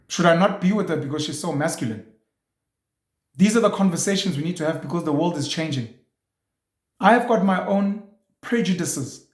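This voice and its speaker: A man with a slightly high voice delivers a blunt, opinionated monologue as a show host. He pushes hard with rhetorical questions and emphatic stresses. The sound carries an echoey room.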